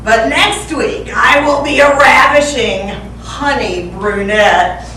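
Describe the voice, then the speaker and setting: A woman's voice: a little high, slow pace, pushed and bright. Playful woman in her sixties, echoey room. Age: 60s. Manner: playful, teasing, quizzes the audience. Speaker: Toastmasters club speaker. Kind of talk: humorous prepared speech. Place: club meeting room. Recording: echoey room from far off, faint hiss.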